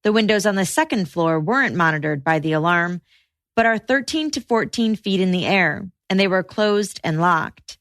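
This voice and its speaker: A woman reading scripted true-crime podcast narration in a measured, matter-of-fact way. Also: mid-range voice, expressive, fairly bright tone, no room sound, studio quality.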